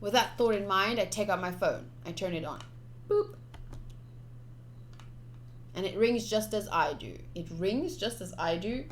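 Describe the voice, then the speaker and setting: A woman's voice: medium pitch, unhurried, pauses often. Playful woman streaming a game read-aloud. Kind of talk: live playthrough, reading game text aloud with casual asides. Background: game music.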